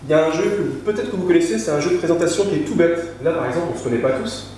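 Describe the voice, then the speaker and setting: A man's voice: a little high, expressive, very fast, dark tone. A man, a saxophone teacher, chattily explaining and leading a group music workshop game. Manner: chatty, hesitant restarts. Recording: echoey room, distant mic, background noise.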